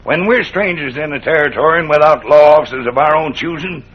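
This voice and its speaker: Husky, stubborn cowboy camp boss. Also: male voice, fairly high pitch, fairly quick. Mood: grave, defiant. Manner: folksy cowboy drawl, plainspoken and firm. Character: stern, stubborn old-west cowboy camp cook leading his men. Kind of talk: 1940s-50s western radio acting. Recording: dull old radio recording.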